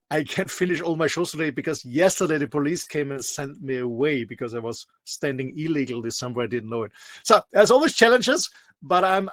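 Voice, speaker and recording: A man's voice: a little high, a bit bright, no echo. A middle-aged man from Austria with a German accent, chatting on a video call and often repeating words as he restarts. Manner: restarts and repeats words, laughs between phrases. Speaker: guest show star. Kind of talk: casual chat. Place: motorhome on a coast.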